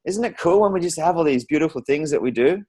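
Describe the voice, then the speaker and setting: A man's voice: fairly high pitch, expressive, fast talker, a little mellow. A man hosting a conversational interview in an informal, rambling, encouraging way. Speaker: interview host.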